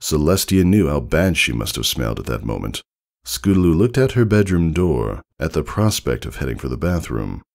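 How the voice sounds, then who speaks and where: A man narrates scripted fiction in a very low voice with a gravelly creak. His delivery is dramatic and measured, with extremely animated swings in pitch. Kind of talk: expressive scripted story narration.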